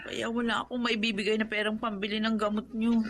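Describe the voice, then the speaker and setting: A woman's voice: on the high side, level tone, brisk, fairly bright tone, a little hiss or hum. A woman acting a hard-up married daughter in a radio drama, brusque and dismissive with her father. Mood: curt.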